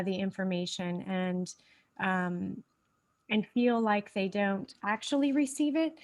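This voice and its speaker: A woman hosting a spiritual livestream in a casual, rambling way, her voice a bit stuffy from a blocked nose. She sits at a middling pitch and leans on fillers and restarts as she talks. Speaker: host.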